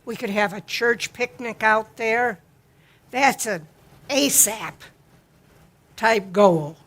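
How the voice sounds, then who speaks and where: A woman speaking at a church service in a slow, deliberate, homespun way, with what she calls a low voice. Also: a bit bright. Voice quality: describes her own voice as low. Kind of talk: gentle spoken reflection and hymn lead-in. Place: church sanctuary.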